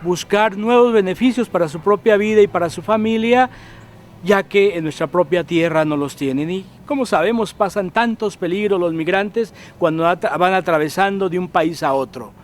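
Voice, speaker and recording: A man from Guatemala hosts a religious program with a fairly high voice. He speaks calmly and exhorts his viewers directly. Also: normal speed, a little hiss or hum. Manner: calm, exhortative, addresses viewers. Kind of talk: pastoral presenter commentary.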